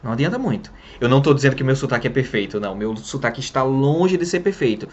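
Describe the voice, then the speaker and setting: Animated young Brazilian man teaching. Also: mid-range voice, fast, dull audio. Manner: lively, talks with his hands. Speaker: English teacher. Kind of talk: casual spoken lesson to camera. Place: small room in front of a printed wall.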